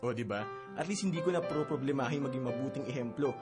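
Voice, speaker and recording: A man's voice: mid-range voice, fast talker, music in the background, lightly acted. A man with a Filipino accent playing a cheeky college-age elder brother in a radio drama, playful and casual. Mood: teasing, proud.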